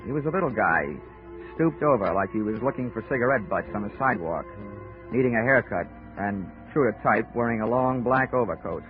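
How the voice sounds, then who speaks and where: An actor playing a cocky, wisecracking insurance investigator who narrates wryly in a 1940s radio drama. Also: male voice, fairly low pitch, expressive, a little fast, natural voice. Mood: narrating. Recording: tinny old 1940s radio recording with music.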